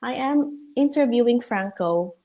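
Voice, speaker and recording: A woman's voice: a little high, expressive, measured pace, warm tone. A woman hosting an online livestream interview in an encouraging, admiring manner. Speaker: interview host.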